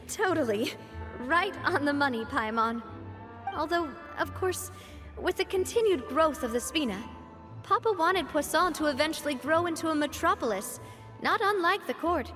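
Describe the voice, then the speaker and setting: A confident young woman in charge, cheerful and playfully teasing. Her voice is high-pitched, in a polished video game performance with music clearly behind it. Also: animated, moderate pace, fairly bright tone. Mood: fond, reflective.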